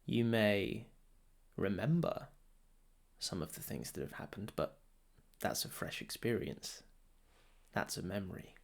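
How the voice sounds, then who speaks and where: A man leading a guided meditation as a teacher, speaking soothingly and deliberately in short phrases with long pauses between them. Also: lowish voice, animated, vocal fry.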